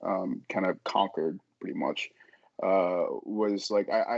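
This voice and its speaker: A man chatting casually as an interview guest, halting as he repeats words and backtracks through his thoughts. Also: on the low side, darkish tone, no room sound, lo-fi sound. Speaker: podcast guest, author.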